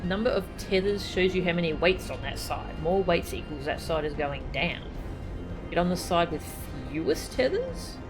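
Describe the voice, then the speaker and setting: A woman's voice: lowish voice, very animated, moderate pace, rough recording. A woman streaming a video game, chatting casually, reading game text aloud and laughing often. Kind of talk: casual gameplay commentary. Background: game music.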